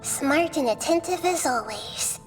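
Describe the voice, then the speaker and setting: A calm, gentle girl goddess. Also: high-pitched. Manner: soft, patient, measured. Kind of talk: video game voice acting. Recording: game music under voice. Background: game background music.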